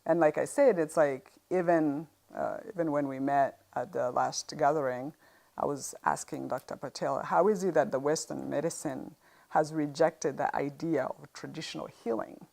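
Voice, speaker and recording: A middle-aged woman from Uganda with an East African accent, recounting personal experience conversationally as an interview guest, with halting pauses. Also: sits low, steady tone, creaky, darkish tone.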